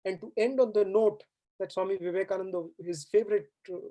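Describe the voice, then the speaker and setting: A woman's voice: mid-range voice, fairly even intonation, fairly quick, warm tone. Earnest woman giving a stirring speech. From India. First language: Hindi. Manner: earnest, exhorting, rhetorical questions. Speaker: guest speaker. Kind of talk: formal valedictory speech. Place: university auditorium.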